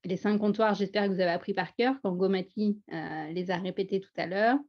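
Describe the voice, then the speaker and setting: A middle-aged woman with a Parisian accent talks in a lively, humorous way about herself. Her pitch sits in an ordinary middle range. The audio is a little dull, as on a video call. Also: a little fast. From France. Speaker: guest. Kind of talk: webinar self-introduction.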